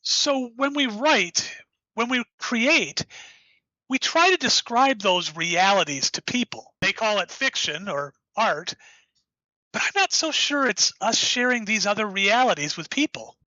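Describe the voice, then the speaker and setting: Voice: mid-range voice, lively intonation, unhurried, sharp tone, no echo, lo-fi sound. An actor playing an enthusiastic, rambling writer who created the hero, in an audio drama. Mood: earnest. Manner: enthusiastic, rambling, self-interrupting.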